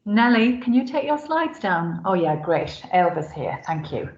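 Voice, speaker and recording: A woman's voice: fairly low pitch, sing-song, a little slow. A woman with an Irish accent informally moderating an online seminar as its host. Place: online video call. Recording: roomy, dull lo-fi call audio.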